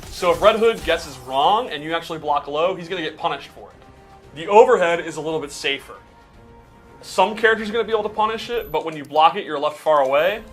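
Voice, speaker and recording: A man's voice: fairly high pitch, animated, fast, fairly bright tone, faint music behind. A man giving a casual, explanatory breakdown in the style of gameplay commentary. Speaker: game commentator breaking down a character.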